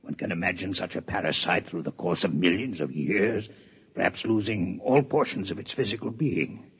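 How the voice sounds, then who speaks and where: An actor playing a proud, formal alien male scientist in a 1950s radio drama, with measured, precise diction. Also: low voice, husky, exaggerated voice. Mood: grave. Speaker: alien doctor in a radio play. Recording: dull vintage radio recording.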